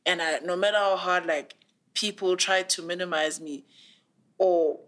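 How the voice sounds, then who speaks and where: A woman's voice: lowish voice, a little slow. A young woman in her twenties with a South African accent, talking earnestly and with feeling in a casual interview, with lots of "like" fillers. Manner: earnest, animated storytelling with many "like" fillers. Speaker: guest.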